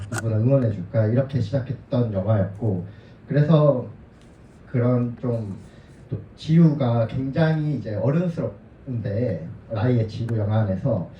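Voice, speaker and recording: A man, a film director, answering audience questions at a screening, speaking softly and thoughtfully. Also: mid-range voice, slight background noise. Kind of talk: festival Q&A answer. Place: cinema auditorium. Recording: dull, roomy, distant hall sound.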